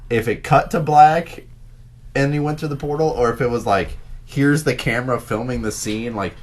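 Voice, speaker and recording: A man's voice: mid-range voice, normal speed. A man co-hosting a podcast, chatting and joking casually with friends. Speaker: podcast co-host.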